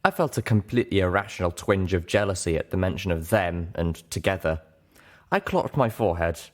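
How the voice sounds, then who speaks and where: Man, low pitch, audiobook narrator, scripted first-person storytelling. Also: lively intonation, a little fast.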